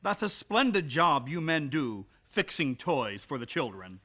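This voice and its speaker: A mild, bewildered minister in a radio comedy. He is courteous and hesitant, with lively rises and falls in his voice. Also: a little high, unhurried, heavily acted. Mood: courteous, puzzled. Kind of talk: old-time radio comedy acting.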